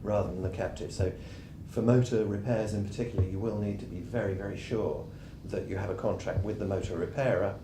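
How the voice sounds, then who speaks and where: A man with a fairly low voice, hoarse and losing it, presents in a measured explanatory way, stopping often to clear his throat. He was recorded in an echoey conference room with a steady low rumble behind him. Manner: measured, explanatory, with frequent ums. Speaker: tax adviser presenting a session.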